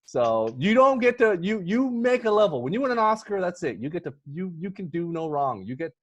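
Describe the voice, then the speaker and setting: A man hosting a casual movie podcast, talking excitably and emphatically with a theatrical, joking streak. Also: high-pitched, fairly quick. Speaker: podcast host.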